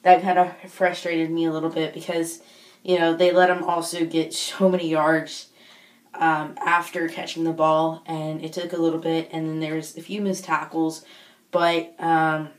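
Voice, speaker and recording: Woman w/ low worn-out sick voice, rambling vlog chat, kinda hesitant, echoey room far from mic. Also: flat delivery, normal speed. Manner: rambling, hesitant, searching for words. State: recovering lost voice after being sick. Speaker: video blogger, football fan. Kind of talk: casual unscripted vlog chat. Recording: echoey room, distant microphone.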